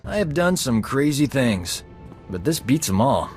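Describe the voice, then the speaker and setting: A man's voice: mid-range voice, sing-song, measured pace. An actor playing a wry, easygoing male companion in a game cutscene. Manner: wry, joking. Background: game music.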